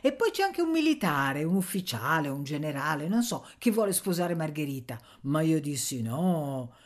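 A woman's voice: sits low, big pitch swings, moderate pace. A woman voicing a drunken, gossipy older serving woman in a storybook, exclamatory, rambling and insistent. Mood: excited, rambling.

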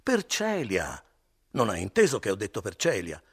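A man voicing a shrewd middle-aged innkeeper who coaxes and grows gruff. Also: on the low side, wildly swooping pitch, normal speed, pitched above the performer's own voice, full character voice. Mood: impatient. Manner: wheedling, then gruff.

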